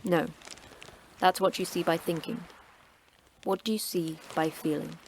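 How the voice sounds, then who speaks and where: An actress playing a terse, cryptic station manager who trains in martial arts, speaking in a curt, dry, sardonic way. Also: female voice, fairly low pitch, fairly even intonation, a little slow, creaky, a little mellow, slight background noise, poor audio. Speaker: station manager of a secret facility.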